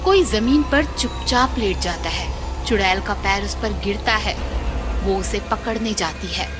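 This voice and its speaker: A woman's voice: mid-range voice, measured pace. A woman narrating a cartoon horror story in a scripted, dramatic way. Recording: loud music bed.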